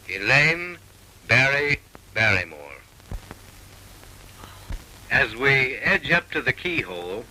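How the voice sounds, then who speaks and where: A man's voice: medium pitch, bright, forceful tone, low-quality recording. A man narrating a 1930s comic film with mock-serious, arch, theatrical delivery on an old film soundtrack.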